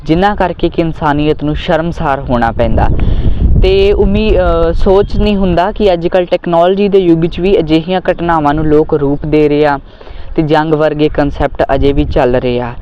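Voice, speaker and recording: A man's voice: a little high, fairly even intonation, moderate pace, a little hiss or hum. An earnest, solemn man, speaking as a host who recites and discusses poetry. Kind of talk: spoken commentary with poetry recitation. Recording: muffled lo-fi audio with background music. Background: music.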